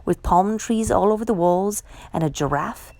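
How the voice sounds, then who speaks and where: A woman reading a children's picture book aloud with measured, emphatic storyteller phrasing. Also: medium pitch, dark tone, dry recording. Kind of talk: expressive children's read-aloud narration.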